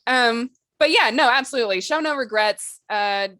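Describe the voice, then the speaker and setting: A woman's voice: a little high, normal speed, bright, forceful tone, no echo, studio quality. A woman hosting a podcast, talking informally and conversationally about the craft of writing. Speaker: podcast host. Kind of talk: casual discussion of writing craft.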